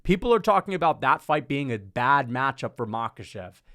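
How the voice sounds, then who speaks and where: A young man giving casual commentary on fighters in an animated, opinionated rant, swearing freely and tossing in rhetorical asides. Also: medium pitch, normal speed. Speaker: MMA commentary YouTuber.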